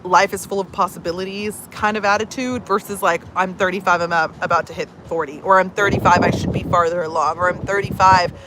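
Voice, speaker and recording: A woman's voice: lowish voice, steady tone, fairly quick, hardly any pauses, background noise. A woman in her thirties, a personal growth coach, chatting casually to camera with many fillers. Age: 30s. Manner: chatty, many fillers, reads comments aloud.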